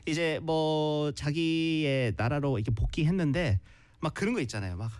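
A man co-hosting a live stream, speaking Korean and switching into slow, clear English to explain things to viewers. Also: a little high, a little mellow, no echo. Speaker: co-host teaching English.

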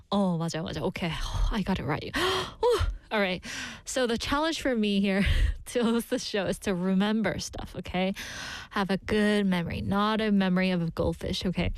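A woman's voice: mid-range voice, lively intonation, racing, dry recording. A woman hosting a radio show, chatting playfully with listeners, laughing often and asking them questions. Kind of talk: casual bilingual radio chat reading listener messages. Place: radio studio.

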